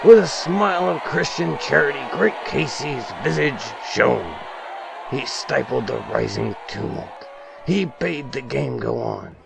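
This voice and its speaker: A man reads a classic poem as a theatrical recitation, emphatic, moving between loud declaiming and hushed, drawn-out phrases. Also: mid-range voice, lively intonation, gravelly, rough recording. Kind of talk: theatrical dramatic poetry recitation. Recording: music and crowd noise behind. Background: music and crowd sounds.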